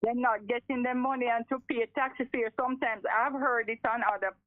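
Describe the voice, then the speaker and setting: Radio caller, female, late seventies, Jamaican. Forceful and repetitive, fairly high-pitched, over a narrow phone line. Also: fairly even intonation, a little fast, no room sound. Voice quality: strong voice. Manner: emphatic, repeats phrases for force. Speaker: radio call-in listener. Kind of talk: impassioned live phone-in talk. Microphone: telephone. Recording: thin, narrow telephone line.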